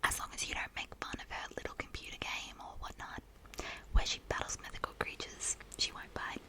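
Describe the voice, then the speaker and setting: A woman's voice: a little fast, natural voice. A woman voicing a bubbly, teasing teenage girl in a whisper.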